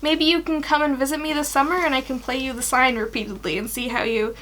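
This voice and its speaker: Woman reading as teasing, high-voiced teenage boy wizard. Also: steady tone, fast talker, fairly bright tone. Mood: fond. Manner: wry, teasing.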